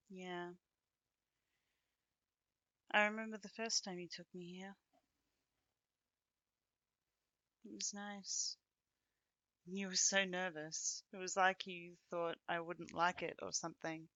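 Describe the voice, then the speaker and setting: Woman acting as affectionate female companion, ASMR roleplay, bright crisp voice, unhurried, casual gentle teasing, very dry close sound. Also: fairly low pitch, level tone, no room sound. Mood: tender, reflective.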